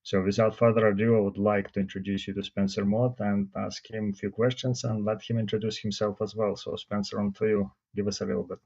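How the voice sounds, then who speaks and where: Male interview host, gravelly low voice, dull lo-fi recording, formal and direct. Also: level tone, a little fast, hardly any pauses, darkish tone. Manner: formal introductions, direct questions. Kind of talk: interview hosting. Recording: dull lo-fi sound.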